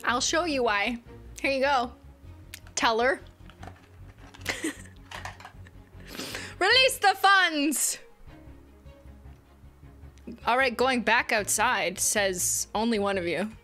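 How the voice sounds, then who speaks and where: Bright, high-voiced young woman livestreaming. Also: expressive, very slow, long pauses, faint music behind. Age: young adult. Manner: playful, teasing, laughs often. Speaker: livestream host. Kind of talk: casual livestream chat. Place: home streaming room, indoors. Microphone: studio mic on boom arm.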